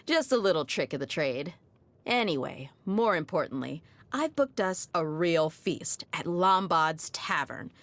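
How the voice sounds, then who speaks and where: A woman's voice: lowish voice, a bit bright, no echo, exaggerated voice. An actress voicing a tough but easygoing young desert mercenary woman in a video game, with a casual, frank manner. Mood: warm, playful. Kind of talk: video game character voice lines.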